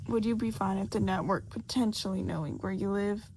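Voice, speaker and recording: A woman voicing a serious male police detective in an audiobook, measured and businesslike as he explains a plan. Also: medium pitch, dark tone, slight background noise, natural voice. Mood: businesslike, cautious.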